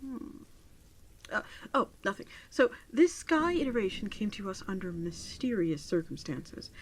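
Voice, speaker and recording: A woman acts out a gentle, doting clerk at a virtual pet adoption shop in an ASMR roleplay. Her mid-pitched voice is soft and unhurried, often dropping into a whisper. Clear ambient noise runs behind her. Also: very animated. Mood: musing, informative.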